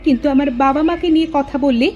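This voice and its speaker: A meek young wife from a cartoon family drama, acted by a woman. Her voice sits very high and sounds plaintive and earnest, that of someone put-upon who will still stand her ground. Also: fast, darkish tone, dull audio, close to an everyday voice. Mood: hurt, defiant.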